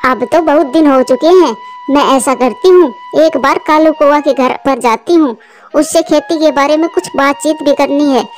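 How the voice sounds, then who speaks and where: A woman's voice: high voice, moderate pace, soft background music, close to an everyday voice. A woman voicing an earnest, hard-working female cartoon bird who frets aloud in a plaintive manner. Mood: determined. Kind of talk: Hindi cartoon story voice acting.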